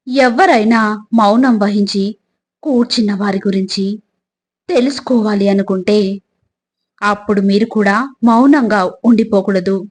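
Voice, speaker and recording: A woman reading scripted motivational reflections in a measured, instructive way, addressing the listener directly. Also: medium pitch. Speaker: motivational quotes narrator.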